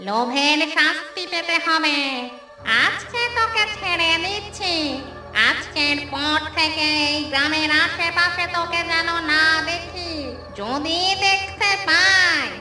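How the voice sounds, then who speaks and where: A woman's voice: very high-pitched, pushed and bright, full character voice. A woman voicing a fish-loving female village ghost in a horror cartoon, commanding and loyal, with vengeful weight. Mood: menacing. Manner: menacing, drawn-out commanding threats. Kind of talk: Bengali horror cartoon voice acting. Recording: roomy echo, distant, music behind.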